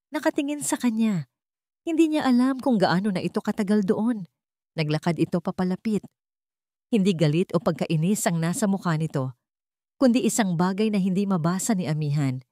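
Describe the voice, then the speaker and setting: A woman's voice: mid-range voice, darkish tone, no room sound, studio quality. A woman narrating a scripted dramatic story as its storyteller.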